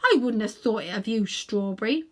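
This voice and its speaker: A woman's voice: mid-range voice, expressive, normal speed, natural voice. A woman voicing a plain-spoken working-class cabbie man in a children's storybook, chatty and emphatic. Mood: hurt, indignant. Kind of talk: children's storybook character reading.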